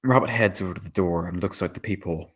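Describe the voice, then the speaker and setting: A man's voice: low voice, fast talker. A man reading out stage directions as scripted screenplay narration at a table read.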